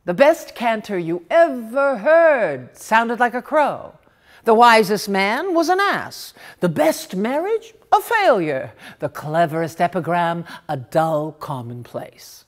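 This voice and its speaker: Woman, dramatic literary reading, slow deliberate delivery, very lively intonation, theatrical. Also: medium pitch. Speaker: story reader. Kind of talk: dramatic literary storytelling read aloud.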